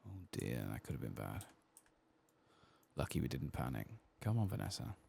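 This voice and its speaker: Male ASMR gaming host: low, creaky, hushed and easygoing, muttering through play, faint background noise. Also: normal speed. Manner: quiet, relaxed, murmuring to himself. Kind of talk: soft-spoken ASMR game playthrough commentary.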